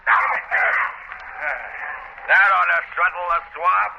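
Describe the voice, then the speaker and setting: An actor playing a rough, violent, impatient mutinous sailor barking curt orders in an old radio drama. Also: male voice, sits high, fairly even intonation, slow pace, lo-fi sound, exaggerated voice. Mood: violent, urgent. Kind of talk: 1930s radio acting. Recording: scratchy band-limited 1939 radio recording.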